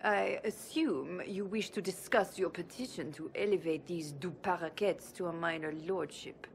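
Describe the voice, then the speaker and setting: An actress playing a snobbish, imperious older minister in a video game, with a creaky voice and a disdainful, deliberate, condescending way of talking. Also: female voice, on the low side, a little hiss or hum, natural voice. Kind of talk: video game cutscene acting.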